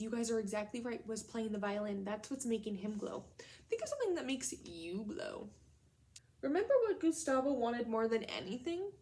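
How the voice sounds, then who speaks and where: A woman's voice: on the high side, darkish tone. A woman teacher leading a read-aloud lesson for young children, speaking in simple, instructional phrases and questions. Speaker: elementary school teacher.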